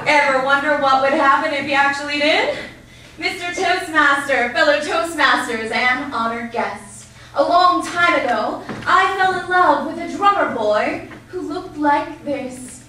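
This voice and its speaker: A young woman giving a playful, animated comic storytelling speech at a contest, using a handheld mic. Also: sits high, unhurried. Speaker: contest speaker. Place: large hotel ballroom. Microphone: handheld mic, far camera. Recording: echoey hall from far off.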